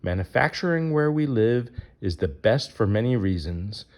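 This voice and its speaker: A man's voice: on the low side, lively intonation, moderate pace, a little mellow. A man reading a rhyming children's picture book aloud as its narrator.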